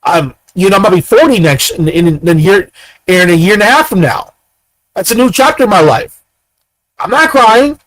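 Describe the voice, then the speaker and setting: A man's voice: high-pitched, animated, normal speed, fairly bright tone, no room sound, rough recording. A man in his thirties giving ranting commentary, firing off repeated rhetorical questions. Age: 30s. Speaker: commentator reacting to clips.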